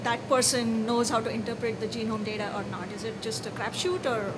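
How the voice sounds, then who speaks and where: Middle-aged woman, panel moderator; direct, bright pitch, audible room noise. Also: brisk. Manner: direct, questioning. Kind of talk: conference panel discussion. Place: conference hall stage. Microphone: handheld microphone. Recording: noisy conference hall.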